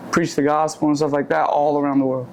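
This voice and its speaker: A man's voice: fairly high pitch, flat delivery, fast, darkish tone, slight background noise, rough recording. A young man raised in Puerto Rico, a barber and shop owner, telling his life story in a candid, rambling way and correcting himself as he goes. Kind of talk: casual interview storytelling. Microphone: handheld or shared mic.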